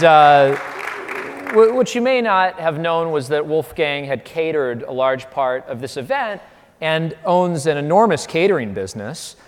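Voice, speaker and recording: A man interviewing on stage, with articulate, formal, measured phrasing. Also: on the high side. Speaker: interviewer, event moderator. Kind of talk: prepared onstage interview introduction. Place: large hall, on stage before an audience. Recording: echoey hall, long reverb.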